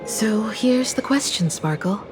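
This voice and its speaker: An actress playing a composed, caring and analytical young woman in a video game, speaking in a measured, earnest, articulate way. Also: fairly high pitch, animated, rough recording. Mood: serious, explanatory. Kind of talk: video game voice acting, expository dialogue. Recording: studio voice over game music.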